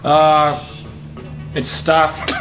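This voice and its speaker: A man's voice: a little high, monotone, extremely slow. An actor playing a shy seventeen-year-old Australian boy who hesitates and stammers, with music behind him. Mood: shy, hesitant. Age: teens. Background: music score.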